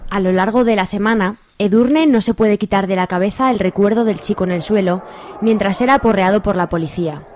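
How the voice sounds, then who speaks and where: A woman's voice: mid-range voice, fairly quick, a little mellow, a little hiss or hum. A woman with an accent from Spain reading scripted narration for a radio drama.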